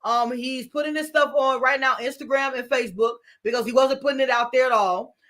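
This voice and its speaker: High-voiced woman hosting a talk show. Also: a little fast. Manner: animated, laughs often, repeats words. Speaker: show host and business mentor. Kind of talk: casual panel talk show.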